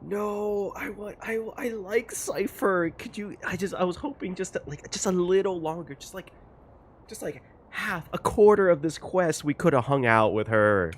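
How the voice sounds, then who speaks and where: A man doing casual, expressive game commentary as a reaction streamer, swearing casually and exclaiming in dismay. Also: sits high, moderate pace, slight background noise. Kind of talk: casual game commentary, reading story text aloud. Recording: game music behind the voice.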